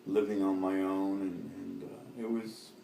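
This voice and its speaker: A Lebanese-born man in his fifties, Arabic his first language, answering an oral history interview in a halting way with many fillers and restarts. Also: low-pitched, flat delivery, gravelly, mellow, echoey room, a little hiss or hum.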